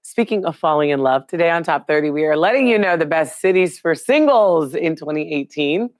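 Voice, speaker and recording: A woman, hoarse and raspy from a cold, hosting a live news chat and greeting viewers by name. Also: low voice, expressive, a little fast, no room sound. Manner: chatty, upbeat, throws questions to viewers. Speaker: live show host.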